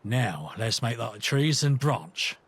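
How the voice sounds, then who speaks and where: An actor playing a conflicted, earnest British rebel in a video game, talking in a matey, reflective, colloquial way. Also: male voice, mid-range voice, animated, takes it slowly, a bit bright, husky, exaggerated voice. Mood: grateful, reflective. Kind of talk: scripted video game companion dialogue.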